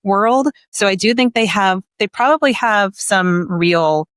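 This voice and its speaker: A middle-aged woman speaks as an expert podcast guest. Her voice sits at a medium pitch in a very dry recording. She talks freely and candidly, adding conversational asides as she explains her view. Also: measured pace, fairly bright tone, dry recording. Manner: candid, talkative, uses frequent conversational asides. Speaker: staffing industry researcher, podcast guest. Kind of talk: conversational interview commentary.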